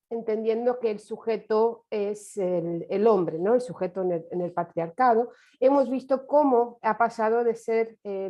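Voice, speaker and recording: A woman whose first language is Romanian gives an online lecture in a reflective manner, pausing and restarting often. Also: mid-range voice, lo-fi sound. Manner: reflective, with many hesitations and restarts. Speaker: course speaker. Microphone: video call.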